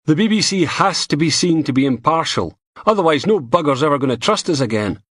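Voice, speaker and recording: A man's voice: on the high side, expressive, fairly quick, fairly bright tone, slightly rough, no echo, studio quality, voice pushed up. A man voicing a television technician with a cold, sounding nasal and stuffy. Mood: reluctant. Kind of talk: audiobook character voice.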